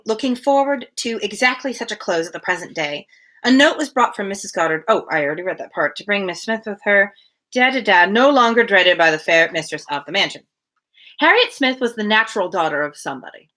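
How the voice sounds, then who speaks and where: A woman reading a novel aloud on a livestream in an expressive storytelling way, with casual asides. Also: medium pitch, a little fast. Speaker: reader hosting a daily livestream.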